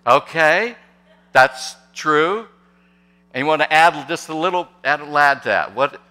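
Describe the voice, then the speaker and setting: A man's voice: a little high, expressive, measured pace, sharp tone. A man in his sixties preaching a sermon from a pulpit microphone in a large church, hesitant and full of fillers, asking the congregation questions. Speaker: pastor. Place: church sanctuary, large and indoors. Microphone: podium microphone on a gooseneck. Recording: long church reverb.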